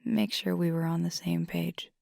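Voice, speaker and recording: A woman playing an affectionate, teasing werewolf girlfriend in an ASMR roleplay, speaking in a soft, breathy, half-whispered voice with casual pauses. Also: on the low side, steady tone, no room sound, clean studio sound. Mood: thoughtful, subdued. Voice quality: breathy, husky, gravelly fry. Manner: casual, unhurried, hesitant pauses. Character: fiery werewolf girlfriend, affectionate, teasing, weary pack member.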